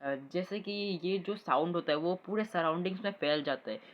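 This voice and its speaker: Indian guy doing a news explainer, slightly high voice, halting, switches between hindi and english. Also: lo-fi sound. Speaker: presenter. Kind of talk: explanatory news update, reading and paraphrasing reports.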